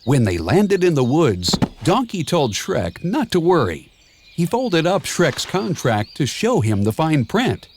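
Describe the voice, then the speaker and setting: A man reading a children's storybook aloud in a measured, expressive storytelling manner, with clear and simple phrasing. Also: fairly high pitch, background noise. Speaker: storybook narrator. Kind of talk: scripted children's read-along storybook narration.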